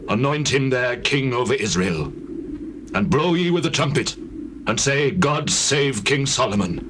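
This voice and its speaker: A man's voice: medium pitch, natural voice. An actor playing an old, weary and solemn king of Israel in a dramatized scripture reading, speaking biblical English. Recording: noisy old cassette tape recording.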